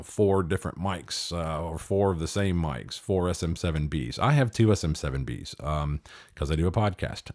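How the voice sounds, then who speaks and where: A man's voice: sits low, a little mellow, dry recording. A middle-aged man talking casually through audio equipment in a rambling, self-deprecating way, speaking close into studio microphones in a home office. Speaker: podcaster demonstrating audio gear. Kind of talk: casual gear review talk. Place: small home office studio, untreated room. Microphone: close studio microphones with pop filters.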